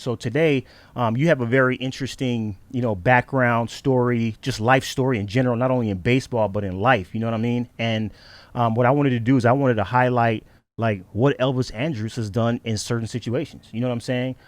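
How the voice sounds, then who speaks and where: Guy hosting a laid-back interview, slangy banter, mid pitch, close dry sound. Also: no room sound. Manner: slangy, excitable banter with repeated check-in phrases. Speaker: interview host. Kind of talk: casual conversational interview.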